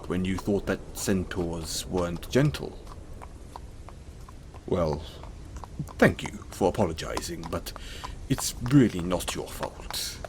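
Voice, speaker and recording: A man playing a kind, apologetic centaur in an audio roleplay, speaking gently and hesitantly to the listener in a reassuring manner. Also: sits low, noisy background, heavily acted.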